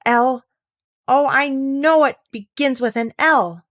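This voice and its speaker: A woman's voice: high-pitched, slow pace, a bit bright, voice pushed up. Timid, thoughtful storybook little girl, played by a woman, polite and puzzled, musing hesitantly. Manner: hesitant, musing aloud to herself. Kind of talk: children's storybook character reading.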